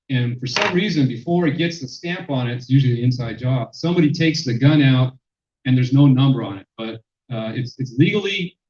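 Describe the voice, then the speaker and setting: Luide spreker, mannelijk, lezing in zaal met galm, veel "uh" en herstarts, doffe opname. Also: male voice, mid-range voice, slight background noise. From Mexican-American. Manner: loud, many fillers and restarts. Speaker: sociologist presenter. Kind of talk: public lecture. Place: lecture room. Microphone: handheld microphone, then none. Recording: echoey hall, dull sound.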